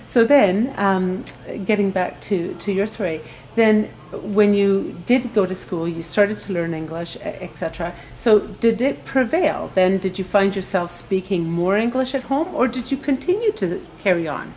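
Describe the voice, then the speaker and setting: A woman moderating an interview, asking slow, deliberate, encouraging questions. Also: mid-range voice, animated, darkish tone, slight background noise, poor audio.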